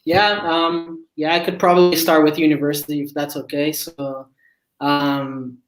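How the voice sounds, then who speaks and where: A man's voice: fairly high pitch, measured pace, reverberant, rough recording. Brazilian man answering interview questions. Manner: relaxed, filler-heavy storytelling. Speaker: guest recruiter.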